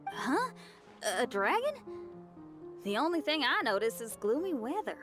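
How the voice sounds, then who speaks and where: An actress voicing a curious, plain-spoken young adventurer in a video game. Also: female voice, high-pitched, very animated, a little slow, music in the background. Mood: puzzled. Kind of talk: anime-style video game dialogue.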